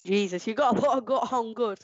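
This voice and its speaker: A woman co-hosting a casual podcast, excitable and quick to laugh. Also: fairly high pitch, no echo, highs cut off. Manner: excitable, laughs often, animated outbursts. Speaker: podcast co-host. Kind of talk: casual chat ranking wrestlers.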